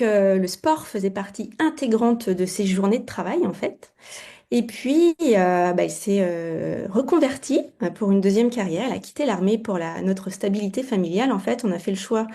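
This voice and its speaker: A woman in her forties tells her personal story over a video call. Her voice is warm and mellow, and she hesitates often, filling her pauses. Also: medium pitch. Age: 40s. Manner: hesitant, many fillers. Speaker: guest client giving testimony. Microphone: video call mic.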